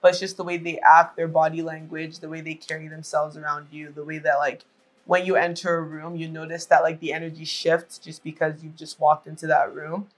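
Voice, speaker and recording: A man's voice: on the high side, completely flat delivery, brisk. A man speaking casually in an interview, often saying "like". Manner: casual, frequent "like". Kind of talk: casual testimony.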